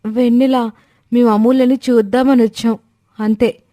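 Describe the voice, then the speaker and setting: A woman voicing a gentle, pleading elder sister with an earnest, conciliatory manner in a family story. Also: fairly high pitch, little pitch movement, moderate pace, no room sound, studio quality, lightly acted.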